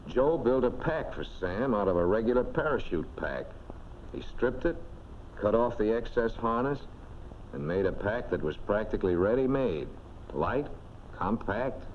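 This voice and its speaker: Male narrator, 1940s instructional film, fairly low, punchy folksy delivery, dull and slightly noisy audio. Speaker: narrator of a military training film.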